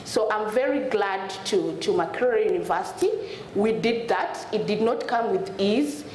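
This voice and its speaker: A woman from South Sudan, in her thirties, speaks earnestly on a conference stage, restarting her phrases as she goes. Her voice is of middling pitch. It rings in a roomy hall with a faint hum of ambience. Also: lively intonation, moderate pace, a little mellow. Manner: earnest, repeats words as she searches for them. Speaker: panelist, refugee student advocate. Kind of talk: panel discussion talk. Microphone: lapel mic.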